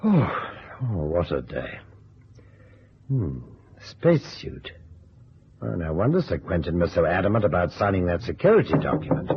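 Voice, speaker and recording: An actor playing a fretful, dryly authoritative detective agency chief in an old-time radio drama. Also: male voice, low voice, very animated, moderate pace. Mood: weary, puzzled. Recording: muffled, noisy vintage radio recording.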